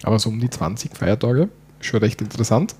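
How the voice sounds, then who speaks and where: A man's voice: lowish voice, fairly quick, darkish tone, husky. A man with an Austrian German accent and a rolling R, chatting casually as a podcast co-host, his dialect drifting in and out as he talks. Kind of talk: casual chat.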